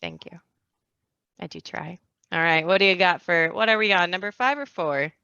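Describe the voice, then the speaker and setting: Female podcast guest, very bright voice, giggly casual chat, close dry sound that is slightly dull. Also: lowish voice, moderate pace, creaky, clean tone, dry recording. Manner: giggly, playful.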